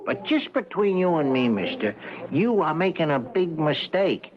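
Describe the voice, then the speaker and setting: An actor playing a pushy, plain-talking racetrack horse dealer in an old radio drama, blunt and folksy. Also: male voice, on the high side, sing-song, moderate pace, a crowd in the background. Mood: blunt, persuading. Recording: dull old radio recording.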